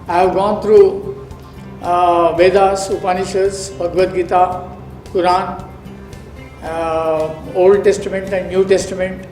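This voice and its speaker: A man delivers a formal lecture, slowly and with many repetitions and fillers. His voice carries a heavy echo, as if recorded from across a large hall, with faint music underneath. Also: high-pitched, a little mellow. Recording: echoey hall, distant mic, faint music.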